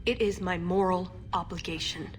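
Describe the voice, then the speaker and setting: A woman's voice: on the low side, fairly even intonation, normal speed, faint music behind, natural voice. A woman playing an earnest, idealistic young female elf agent in a fantasy video game, sincere and hesitant. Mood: pleading, earnest. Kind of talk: video game cutscene acting.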